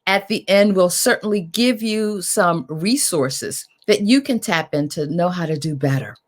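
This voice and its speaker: A woman moderator giving an informal, emphatic welcome address with deliberate phrasing. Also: fairly low pitch, animated. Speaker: moderator, executive director.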